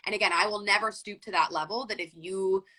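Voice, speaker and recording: A woman's voice: mid-range voice, fairly even intonation, fast, pushed and bright, poor audio. A young woman guest talking casually in an interview, full of "like" and "um" fillers. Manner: chatty, frequent "like" and "um" fillers. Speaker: podcast guest.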